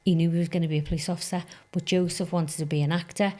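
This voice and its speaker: A woman's voice: fairly low pitch, little pitch movement, very fast, dark tone. A woman in her fifties, a youth mentor, talking earnestly and telling stories in a podcast conversation. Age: 50s. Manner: earnest, storytelling, emphatic about goals.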